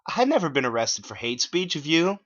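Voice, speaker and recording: A man's voice: a little high, very animated, a little fast, a bit bright, dry recording, lo-fi sound, voice pushed up. A man playing a cocky, punchy internet troll. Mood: goading. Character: cocky, grinning male internet troll, blunt and casual.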